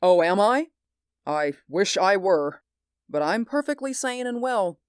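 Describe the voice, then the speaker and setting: An actor in a 1940s radio drama playing a haunted, sleepless man who pleads in an intense, hushed voice. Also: high-pitched, animated, a little slow, clean tone, no room sound, studio quality. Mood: brooding.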